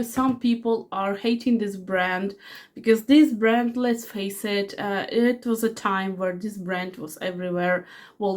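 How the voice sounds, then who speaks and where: Chatty woman in her forties with a cold. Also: mid-range voice. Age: 40s. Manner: chatty, rambling with many fillers and restarts. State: has a cold. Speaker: fragrance reviewer. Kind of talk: casual product review vlog.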